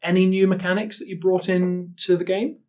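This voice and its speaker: A man's voice: high-pitched, little pitch movement, fairly quick, a little mellow. Man hosting a casual podcast chat. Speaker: podcast host.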